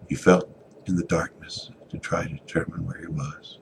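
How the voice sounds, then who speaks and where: A man giving a dramatic reading of a story, theatrical, moving between hushed and forceful delivery. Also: flat delivery, normal speed, noisy background. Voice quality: very deep, gravelly. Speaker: author reading a short story.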